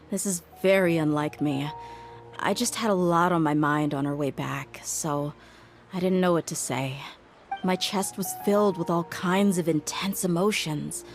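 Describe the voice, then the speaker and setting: A tough young mercenary woman of the desert in a video game. Her voice sits fairly low, candid and brooding, with weighty, reflective phrasing over clearly audible music. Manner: frank, reflective, weighty delivery. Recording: music playing behind the voice.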